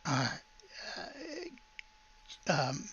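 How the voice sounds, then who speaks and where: A game master playing an anxious, hesitant village priest who stammers and speaks haltingly in a tabletop game. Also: medium pitch, huge pitch swings, fairly bright tone, breathy edge, no echo, dull audio, full character voice. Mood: anxious, earnest.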